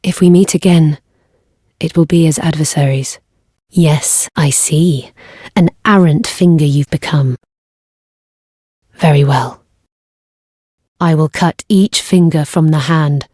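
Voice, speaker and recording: A woman's voice: sits low, takes it slowly, long pauses, mellow, breathy edge, no echo, clean studio sound, heavily acted. An actress playing a reserved, earnest young lady knight in a fantasy game, speaking with formal, courteous, old-fashioned phrasing. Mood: grave, formal. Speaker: video game voice actor.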